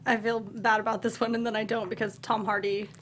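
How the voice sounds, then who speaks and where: A woman's voice: on the high side, level tone, a little fast, a loud crowd. A woman co-hosting a film review panel in casual conversation, with noise behind her.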